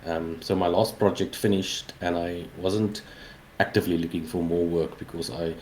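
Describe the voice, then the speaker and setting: South african guy in his 40s, afrikaans first language, really low voice, casual interview chat, some background noise. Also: fairly even intonation, moderate pace, a little mellow, poor audio. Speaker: interview guest, indie game developer.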